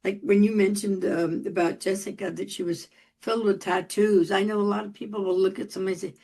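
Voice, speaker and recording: A woman's voice: mid-range voice, steady tone, fast, mellow, breathy edge. A woman in her eighties hosting an interview, chatty and friendly, laughing often. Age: 80s. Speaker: podcast host. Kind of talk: casual interview talk.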